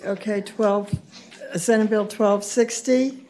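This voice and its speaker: Woman chairing a committee, flat dark voice, runs through motions and votes fast, light hiss behind. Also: medium pitch, low-quality recording. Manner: brisk, routine calls for motions and votes. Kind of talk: procedural chairing of a committee hearing. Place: legislative committee room. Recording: faint background noise.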